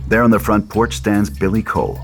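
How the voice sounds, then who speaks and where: Male narrator, very low register, reading horror screenplay directions over prominent music. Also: animated, a little fast, vocal fry. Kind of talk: scripted screenplay narration, horror table read. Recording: loud music under the voice.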